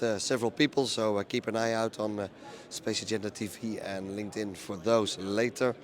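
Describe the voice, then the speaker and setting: A man with a low, hoarse voice talks through a conference recap for his video channel. He speaks plainly and steadily, with frequent ums and uhs. The rasp from a lost voice stays through every line. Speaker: event reporter recapping a conference. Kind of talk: casual spoken recap to camera.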